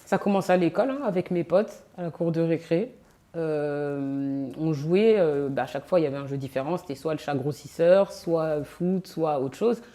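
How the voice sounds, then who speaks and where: Thirties French woman, interview guest: low, mellow voice, relaxed and halting, lots of fillers. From France. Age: 30s. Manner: relaxed, hesitant, many fillers. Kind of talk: casual interview chat. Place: on location in a sports venue.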